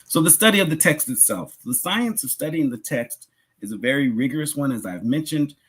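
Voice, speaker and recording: Male preacher, Islamic lecture, husky voice, lively swooping intonation, explanatory, emphatic repetition, rhetorical asides. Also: fairly high pitch, a little fast. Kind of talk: religious lecture, teaching.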